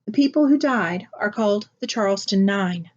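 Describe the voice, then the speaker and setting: Storybook role: steady, caring dad read by a woman, serious and gentle, dull lo-fi sound. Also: mid-range voice, normal speed, natural voice. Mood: solemn explaining. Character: calm, grave, caring father. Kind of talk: picture book read aloud.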